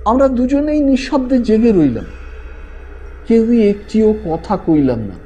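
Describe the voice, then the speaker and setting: A man's voice: high voice, lively intonation, measured pace, mellow, lo-fi sound, slight background noise. A man telling a scripted ghost story as a narrator, in a theatrical, suspenseful manner. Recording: music behind the voice. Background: background music.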